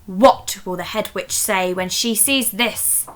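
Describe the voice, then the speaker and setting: A woman's voice: medium pitch, slow, a bit bright. A woman voicing a cross, exasperated witch schoolteacher in a storybook, with stern, emphatic delivery.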